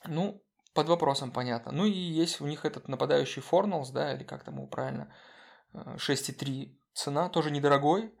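Man, gravelly voice, casual football chat, hesitant with fillers. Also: on the high side, brisk. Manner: hesitant, many fillers and restarts. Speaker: podcast co-host.

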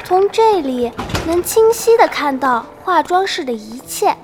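A woman's voice: very high voice, very animated, unhurried, darkish tone, full character voice. A woman playing a cute, playful narrator telling a fond story in a scripted audio drama. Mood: playful suspense. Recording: voice over prominent music.

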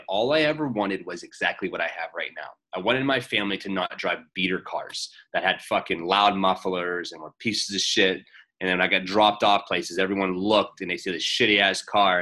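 A man's voice: low-pitched, bright, forceful tone. A man in his late twenties in casual conversation, blunt and rambling, swearing freely as he makes his points. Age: 20s. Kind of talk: casual podcast chat.

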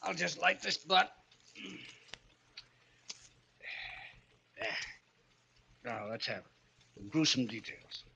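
Wry, bright-voiced radio husband. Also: male voice, on the high side, animated, vocal fry, a little hiss or hum, exaggerated voice. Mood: impatient, coaxing. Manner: brisk, wry, teasing. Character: wisecracking, exasperated husband, a newspaper reporter. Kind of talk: 1940s radio drama acting. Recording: muffled old-time radio recording.

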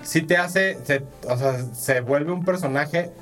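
Male co-host, Mexican, voice a bit high, self-deprecating and crude-joking chat over a music bed. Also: measured pace, fairly bright tone. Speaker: podcast co-host. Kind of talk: casual chat about video games.

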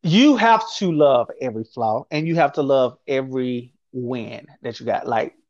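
A man speaking as a guest in an interview, calm and reflective, who often repeats his phrases and checks in with his listener. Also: medium pitch, moderate pace, no room sound, lo-fi sound. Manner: reflective, speaks in repeated phrases, often says "you know what I'm saying". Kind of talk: candid conversational interview.

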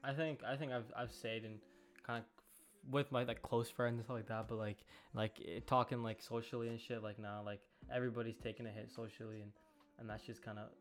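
A man's voice: medium pitch, level tone, fairly quick, faint music behind. A man in his late teens from Canada hosting a casual podcast, talking easily with repeated words and slang. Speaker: podcast host. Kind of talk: casual chat.

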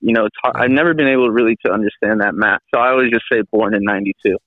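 Twenties male guest, halting and rambly, sounds like he's dialed in over a tinny phone line. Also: lowish voice, dry recording. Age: 20s. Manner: rambling, halting, with many restarts and fillers. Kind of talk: casual podcast chat.

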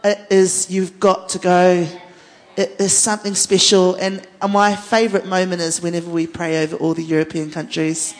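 Female worship leader, New Zealand accent, slightly low voice; earnest panel chat in an echoey hall. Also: level tone, moderate pace. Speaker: worship leader, guest speaker. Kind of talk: unscripted panel conversation about faith. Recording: echoey hall with long reverb.

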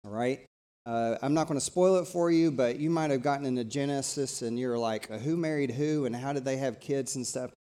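A middle-aged man from East Tennessee, preaching a live church sermon in a conversational, energetic way that invites the congregation to talk back. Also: medium pitch, a little fast. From East Tennessee, USA. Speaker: pastor.

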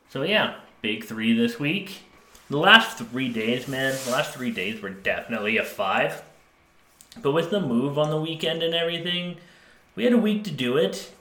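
A man's voice: mid-range voice, sharp tone. A man co-hosting a casual comedy podcast, talking candidly with self-deprecating jokes. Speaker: podcast co-host. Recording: echoey room.

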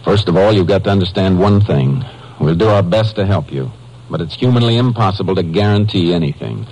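A man's voice: low-pitched, animated, fairly quick, a little mellow. An actor playing a blunt, level-headed Texas Ranger who narrates his case in 1950s radio drama style. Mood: firm, blunt. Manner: blunt, authoritative, clipped. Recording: noisy old 1950s radio recording.

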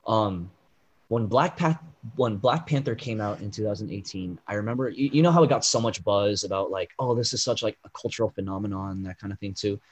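A man co-hosting a casual pop-culture podcast, explaining things at length with lots of "like" and "um" fillers, and getting loud and animated when something riles him. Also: lowish voice, brisk. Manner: rambling, heavy on "like" and "um" fillers. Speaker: podcast co-host.

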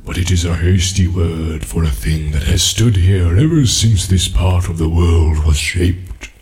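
A man voicing an ancient, slow male tree-giant with a deep rumbling voice, musing and humming between his words. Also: a little mellow, a little hiss or hum. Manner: slow, musing, full of hums and pauses. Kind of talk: audiobook character reading.

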